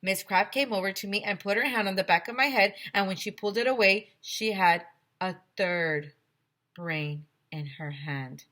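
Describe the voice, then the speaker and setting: A woman reading a children's book aloud as a teacher to her class, with deliberate, expressive storytelling. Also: mid-range voice.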